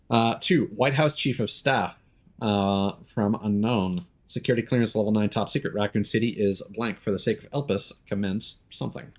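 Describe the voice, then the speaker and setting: A man giving casual gameplay commentary as a streamer, muttering to himself and reading in-game notes aloud. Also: lowish voice.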